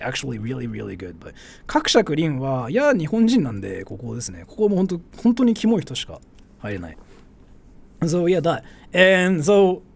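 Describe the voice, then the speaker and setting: Animated man streaming, fairly high voice. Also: gravelly. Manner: informal, switches between English and Japanese. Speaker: streamer, host. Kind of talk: casual livestream chat, ranking commentary.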